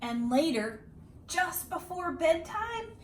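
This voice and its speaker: Very slow, animated woman reading a storybook. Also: very high voice, slight background noise. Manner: dramatic, deliberate phrasing with emphasised words. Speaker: read-aloud storyteller. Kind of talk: expressive children's picture book read-aloud.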